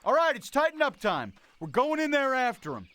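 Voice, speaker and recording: High-pitched, commanding Marine squad leader. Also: male voice, wildly swooping pitch, a little fast, noisy background, full character voice. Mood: firm, commanding. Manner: barking orders, profane, military slang. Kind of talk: war video game voice acting.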